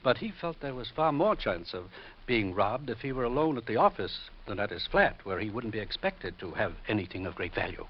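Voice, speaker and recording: Lowish-voiced, proper businessman. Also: very animated. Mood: frank. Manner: measured, formal, cooperative. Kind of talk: 1950s radio drama acting. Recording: muffled, hissy vintage radio recording.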